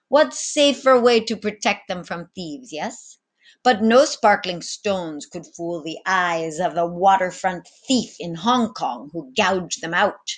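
A woman voicing a sly, commanding male sea captain in a theatrical storybook read-aloud, tagging lines with little questions. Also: medium pitch. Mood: gloating.

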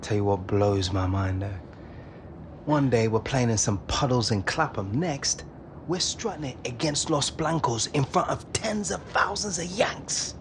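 A man's voice: mid-range voice, animated, unhurried. A British man playing a modest, awestruck young footballer in a game cutscene, chatty and laddish. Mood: awed. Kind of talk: naturalistic game cutscene acting. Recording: noisy aircraft cabin ambience.

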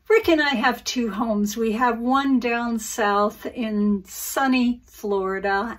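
Slow, gushing woman painter. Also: fairly high pitch, recorded from a distance. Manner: gushing and enthusiastic, calls viewers guys. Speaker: acrylic pour artist and channel host. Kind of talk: casual craft tutorial chat to viewers. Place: indoor studio, over a painting table.

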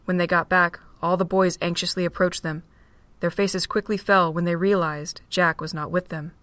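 A woman narrating a fan-made audio drama in a steady, plain reading voice. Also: fairly low pitch, moderate pace, dry recording.

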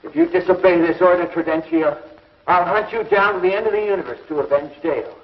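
An actor playing a resolute young space hero in a 1950s TV serial, earnest and firm, with an American accent. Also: male voice, sits high, fast, husky. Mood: stern threat. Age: young adult. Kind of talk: 1950s television serial acting. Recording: dull, lo-fi 1950s television soundtrack.

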